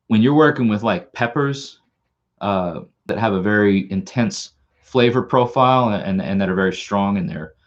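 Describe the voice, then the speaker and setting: A man's voice: lowish voice, lo-fi sound. A man with a Long Island accent, hosting a casual live stream and rambling, with lots of restarts and repeated words. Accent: Long Island New York. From Long Island, New York. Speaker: channel host.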